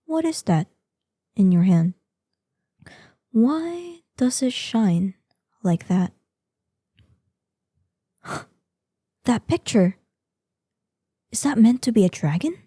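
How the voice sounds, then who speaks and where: A woman playing an ancient, proud and curious female dragon in an audio roleplay, speaking softly in formal, old-fashioned phrases. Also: fairly high pitch, very animated, husky, no echo, clean studio sound, full character voice. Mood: suspicious, astonished. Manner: formal, archaic, deliberate phrasing. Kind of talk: scripted audio roleplay addressed to the listener.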